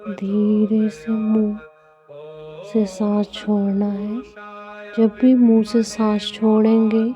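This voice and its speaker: A woman guides a meditation in a soft-edged, mellow voice at an extremely slow pace. Her phrasing is gentle and deliberate, stretched out with long pauses between instructions. Faint music plays behind her. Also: medium pitch, little pitch movement. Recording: dull lo-fi audio with music. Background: background music.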